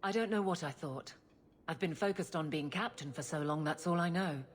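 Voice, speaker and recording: An actress playing a stern, awkward, lovesick guard captain in a video game, blunt and earnest, with a husky voice. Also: female voice, sits low, brisk, a little hiss or hum, natural voice. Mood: anxious, confiding. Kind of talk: video game character acting.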